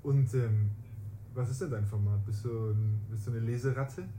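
A man's voice: lowish voice, steady tone, normal speed, noisy background. A man interviewing in an informal, encouraging way as a casual podcast host. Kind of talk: casual chat.

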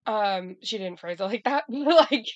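A woman co-hosting a casual podcast chat, hoarse from a cold, talking in a chatty way with lots of restarts. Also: mid-range voice, animated, normal speed, no room sound, lo-fi sound. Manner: chatty, many fillers and restarts. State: hoarse from a cold, losing her voice. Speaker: podcast co-host.

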